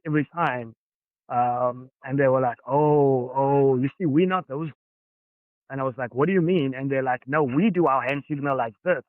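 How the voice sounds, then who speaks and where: A man's voice: medium pitch, no echo. A man with a Johannesburg South African accent, earnestly recounting his past as a podcast guest and acting out the dialogue. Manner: earnest, reflective, acts out dialogue. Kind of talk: personal storytelling. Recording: dry, phone-like narrow sound.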